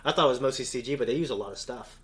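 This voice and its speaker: A man's voice: medium pitch, racing. A man co-hosting a casual fan discussion, talking in a rambling, enthusiastic way. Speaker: co-host of a reaction show.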